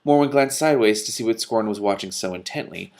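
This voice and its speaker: A man's voice: on the low side, fast talker, a little mellow. A man reading a novel aloud and breaking off for casual commentary. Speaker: reader and commentator.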